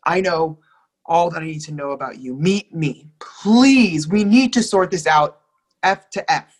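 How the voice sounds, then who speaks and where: A lovestruck young partner in a stage drama, read by an actor, with a mid-pitched voice. The delivery is emphatic and imploring, hurt and insistent, as in a script-in-hand play reading. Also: unhurried, lightly acted. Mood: urgent pleading. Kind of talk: readers theatre dialogue reading.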